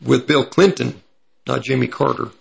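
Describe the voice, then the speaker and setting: A man with a slightly raspy voice from hay fever, giving prophecy commentary as he reads from an article and stops to correct himself. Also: mid-range voice, steady tone. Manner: pauses to correct himself mid-sentence.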